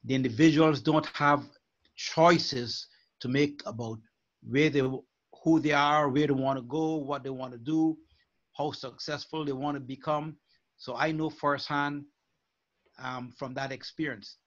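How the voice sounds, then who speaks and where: An older man from Guyana, a life coach, speaking earnestly and in a measured way as a guest on a video call. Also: on the high side, fairly even intonation. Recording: muffled video call audio.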